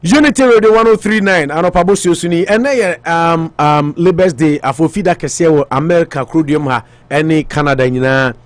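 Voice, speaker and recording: A man's voice: a little high, fairly quick, hardly any pauses, dry recording. A man with a Ghanaian accent hosting a morning radio show, chatting about sport and mixing Twi with English. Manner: mixes Twi and English, hesitant with fillers. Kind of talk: casual sports talk on radio.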